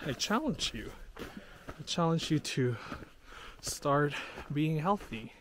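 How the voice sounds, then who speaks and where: A man chatting casually to a handheld camera on an outdoor trail, in an informal, halting, encouraging way. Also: on the high side, lively intonation, noisy background. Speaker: hiking vlogger. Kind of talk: casual vlog chat to camera. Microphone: handheld pocket camera. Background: background music.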